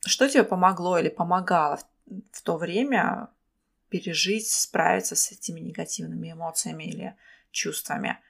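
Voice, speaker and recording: A woman's voice: lowish voice, normal speed, gravelly, slightly rough. A woman hosting a podcast interview, asking long questions and giving frequent backchannel nods. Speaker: podcast host. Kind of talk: casual interview chat.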